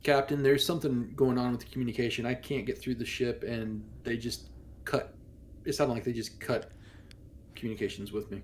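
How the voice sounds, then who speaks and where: A man's voice: mid-range voice, fairly even intonation, brisk, a little hiss or hum. A man roleplaying a crew member in a tabletop game, reporting to his captain. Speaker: player as a crew member on comms.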